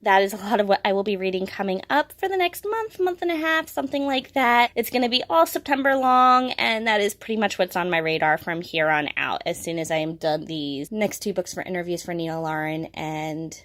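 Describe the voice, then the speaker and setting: A woman's voice: medium pitch, brisk, few pauses, fairly bright tone. A woman talking casually and enthusiastically to viewers as a book vlogger, stressing key words with emphasis. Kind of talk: casual monthly reading wrap-up to camera.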